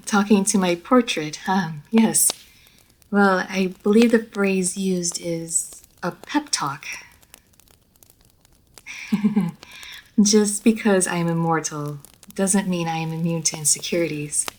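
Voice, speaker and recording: A woman playing an immortal vampire lady of a manor in an audio roleplay, formal and measured, poised and teasing, speaking straight to the listener. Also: lowish voice, steady tone, a little mellow, slight background noise, rough recording. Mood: shy, confiding. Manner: formal, measured, old-fashioned genteel phrasing. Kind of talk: scripted roleplay audio story, addressed to the listener.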